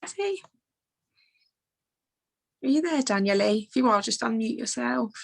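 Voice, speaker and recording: A woman's voice: a little high, lively intonation, unhurried, creaky. A woman hosting an online business call, upbeat and gushing as she introduces speakers. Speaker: host.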